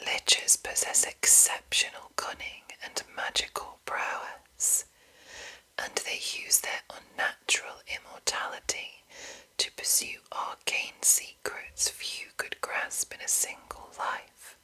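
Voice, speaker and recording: Woman whispering slow, breathy ASMR. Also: rough recording. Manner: slow, hushed, deliberate enunciation. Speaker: ASMR host. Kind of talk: whispered ASMR reading of a fantasy game monster manual.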